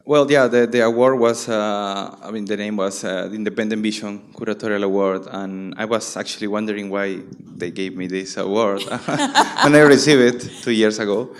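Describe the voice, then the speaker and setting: Male curator from Peru, late 30s, low smooth voice, halting panel talk. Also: steady tone. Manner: halting, many restarts and fillers. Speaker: guest curator. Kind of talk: panel conversation.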